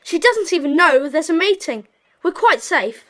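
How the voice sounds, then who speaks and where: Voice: very high voice, sing-song, normal speed, a bit bright, exaggerated voice. An actor playing a cheerful schoolchild in a secret club, in an audiobook drama. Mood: matter-of-fact.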